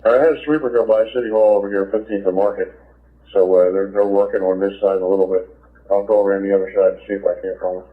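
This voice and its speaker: A man's voice: on the low side, steady tone, fast. A man working as a police officer, talking over police radio. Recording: tinny narrowband police scanner radio.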